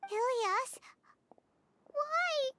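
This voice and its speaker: A woman voicing a small, childlike fairy companion in a video game, earnest, squeaky and outspoken. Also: lively intonation, drawn-out words, heavily acted. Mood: pleading. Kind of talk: video game character acting. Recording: loud background music.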